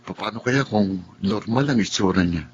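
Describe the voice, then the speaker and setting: A man's voice: on the low side, moderate pace, lightly acted. A man acting a brooding, reserved student son in a Filipino radio drama. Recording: dull audio with faint background noise.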